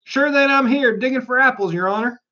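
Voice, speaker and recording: A man's voice: very high voice, brisk, sharp tone, muffled recording, voice pushed up. A man voicing a deferential, rustic male animal servant from a storybook, folksy and obsequious. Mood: deferential, uneasy.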